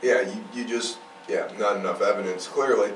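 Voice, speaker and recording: A man's voice: fairly low pitch, fairly even intonation, normal speed. A man hosting a true-crime podcast, telling cases informally with emphatic, opinionated commentary. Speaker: podcast host. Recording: roomy, distant mic, faint noise.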